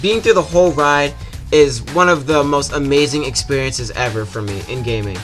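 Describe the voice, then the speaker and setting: A man's voice: mid-range voice, normal speed, a bit bright, soft background music. A man giving a casual, jokey, scripted video game review as a YouTuber, with some swearing.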